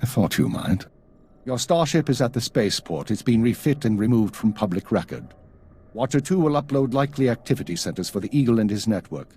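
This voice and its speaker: An actor playing a stern intelligence chief in a video game, with a husky voice and a clipped, authoritative, measured delivery. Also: male voice, mid-range voice, animated, dark tone. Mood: grave, commanding. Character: stern male spymaster, commanding and coolly measured.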